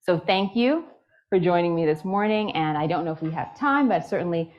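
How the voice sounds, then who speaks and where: A woman's voice: lowish voice, animated, brisk, highs cut off, noisy background. A woman giving a keynote speech and then answering questions, earnest and emphatic, building her points through repetition. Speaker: keynote speaker.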